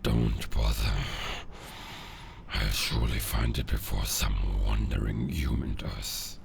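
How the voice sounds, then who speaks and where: Very deep, growling werewolf villain. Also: male voice, fairly even intonation, noisy background, exaggerated voice. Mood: cold threat. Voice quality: gravelly, growling, breathy at times. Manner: slow, deliberate, taunting menace. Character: menacing male werewolf villain, cold, taunting, predatory. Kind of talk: cinematic audio roleplay acting.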